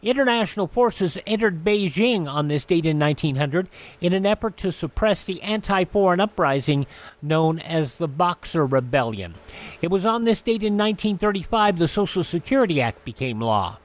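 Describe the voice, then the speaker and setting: Higher-pitched man hosting radio show. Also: animated, normal speed. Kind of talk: conversational hosting of a classic radio program.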